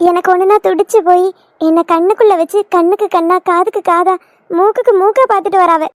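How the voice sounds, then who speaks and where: A devoted young daughter-in-law in a family drama, acted by a woman, speaking in an extremely high voice with a southern Tamil Nadu accent. She gushes and pleads with feeling, in a dry recording with little room sound. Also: fairly even intonation, fast talker, dry recording. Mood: devoted, gushing. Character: affectionate young daughter-in-law, gushing and wheedling. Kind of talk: Tamil family skit acting.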